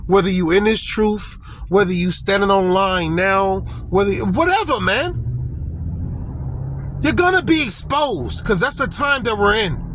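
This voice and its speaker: A man's voice: sits high, a little slow, fairly bright tone. A middle-aged man preaching an informal, blunt sermon outdoors, exhorting listeners and tagging phrases with "man" and "okay.". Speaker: street preacher. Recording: outdoor street noise.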